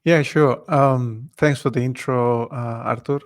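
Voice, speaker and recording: A man's voice: mid-range voice, steady tone, a little slow. A man from Ecuador co-hosting a live online webinar, talking in an easygoing way and greeting attendees by name over a computer mic. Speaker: webinar co-host. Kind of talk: live webinar, casual chat.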